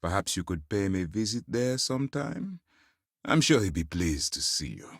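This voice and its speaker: A stern, principled military commander of middle age for a space video game. His delivery is formal and measured with firm authority, yet very animated in pitch. The recording is dry and close, with no room sound. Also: creaky, no echo, full character voice. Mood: grave, firm. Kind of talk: dramatic video game dialogue.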